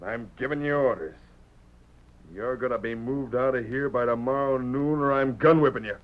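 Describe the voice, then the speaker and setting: An actor playing a menacing, mocking cattle boss with a Western drawl, making folksy threats in old-time radio style. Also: male voice, mid-range voice, moderate pace, lightly acted. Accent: American Western drawl. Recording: muffled, noisy old radio recording.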